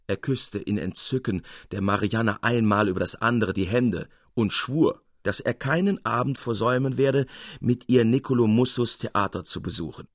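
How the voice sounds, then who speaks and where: A man reading literary narration as an audiobook narrator, in an expressive, measured storytelling manner. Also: lowish voice, dry recording, studio quality.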